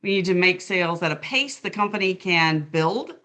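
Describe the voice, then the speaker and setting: A woman's voice: on the low side, lively intonation, moderate pace, fairly bright tone. A woman co-hosting a panel webinar over a video call, chatty and tripping over names now and then. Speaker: webinar co-host, management consultant. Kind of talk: casual panel chat.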